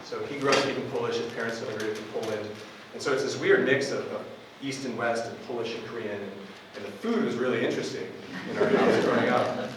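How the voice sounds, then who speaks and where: A man in his forties from Buffalo, New York, giving a relaxed public lecture as a professor, with frequent ums and restarts. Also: medium pitch, fast, few pauses. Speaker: university professor. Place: campus lecture hall. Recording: echoey hall from far off with noise.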